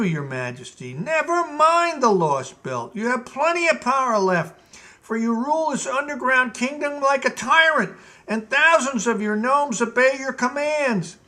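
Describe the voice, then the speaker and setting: A man voicing a sleepy, patient male gnome steward who gives calm, lecturing advice. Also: extremely high pitch, pitched above the performer's own voice, exaggerated voice.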